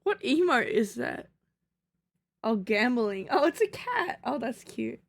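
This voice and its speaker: A playful woman streamer chatting with her viewers in a high, lively voice. She takes her time, drifting through goofy asides and little sung nonsense, with a slight cough. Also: slow pace. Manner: playful, silly, sings nonsense syllables. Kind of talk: casual live-stream chat.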